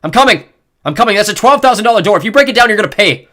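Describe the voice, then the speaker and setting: A man voicing a forceful, assured middle-aged father in an audiobook. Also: mid-range voice, animated, a little fast, pitched above the performer's own voice, natural voice. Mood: shouting. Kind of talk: audiobook character voice.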